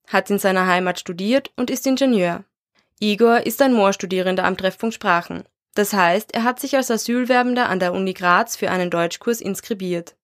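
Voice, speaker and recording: A woman narrating a scripted university radio report in a measured, even delivery. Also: mid-range voice.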